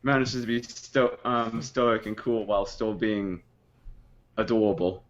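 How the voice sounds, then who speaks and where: A man's voice: lowish voice, a little slow, lo-fi sound. A man playing a tabletop game, explaining his thoughts on a character. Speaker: player. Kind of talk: casual tabletop game chat.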